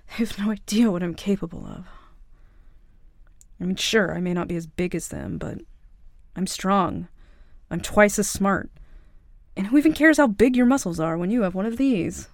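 Woman playing a cocky, creaky-voiced young mafia princess. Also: mid-range voice, very animated, normal speed, long pauses, no echo, studio quality. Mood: annoyed, boastful, then dismissive, threatening.

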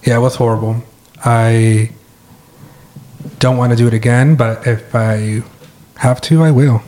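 A young adult man co-hosting a podcast in casual chat, with a raspy voice and laid-back, joking replies. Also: mid-range voice, fairly even intonation, measured pace, a little mellow. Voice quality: raspy today. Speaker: podcast co-host.

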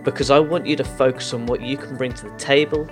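A man's voice: medium pitch, fairly even intonation, fast talker, over music. A man hosting a podcast, addressing listeners in an earnest, emphatic, motivational way. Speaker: podcast host.

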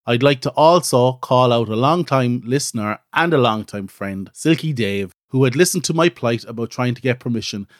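A man's voice: mid-range voice, normal speed, hardly any pauses, dry recording. Irish man hosting a podcast, dry sound. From Ireland. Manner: rambling, self-deprecating, frequent "so" and "you know". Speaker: podcast host. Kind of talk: casual solo podcast chat.

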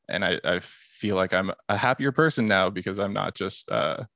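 Low, gravelly male guest with a halting, casual delivery. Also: a bit bright, dry recording. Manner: hesitant, repeats words. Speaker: assistant winemaker, podcast guest. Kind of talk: casual group conversation.

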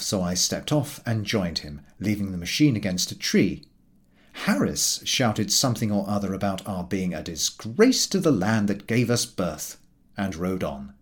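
Male narrator for comic fiction audiobook; husky edge, very lively intonation. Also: sits low. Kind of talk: scripted narration of a comic novel.

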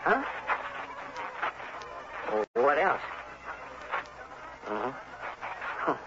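An actor playing a harried, chummy insurance agent in an early 1960s radio drama, earnest, hurried and folksy. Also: male voice, mid-range voice, big pitch swings. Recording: noisy, dull vintage radio recording.

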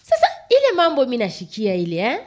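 An actress playing a sceptical, questioning community radio director in a radio play, with a Congolese accent. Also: female voice, on the high side, huge pitch swings, fast, a little mellow. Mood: defensive. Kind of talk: radio play dialogue in colloquial register.

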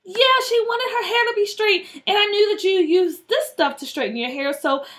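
Woman putting on an unsure little schoolgirl, really high, animated, nervous storybook kid. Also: normal speed, exaggerated voice. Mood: anxious, explaining. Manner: hesitant, anxious. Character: helpful, unsure young schoolgirl friend. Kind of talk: children's story character voice.

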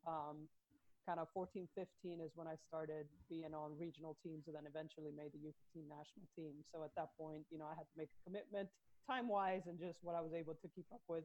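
A woman's voice: sits low, fast talker, dull audio. A woman from Sweden, in her thirties, talking casually about her soccer career as a podcast guest and laughing easily. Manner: relaxed, laughs often, chatty with fillers. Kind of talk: casual interview chat.

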